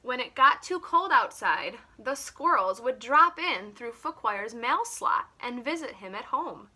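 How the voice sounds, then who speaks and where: Bright, high-voiced woman reading to children. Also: unhurried. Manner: expressive, simple explanatory asides to children. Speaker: children's teacher and story reader. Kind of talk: read-aloud story time for young children.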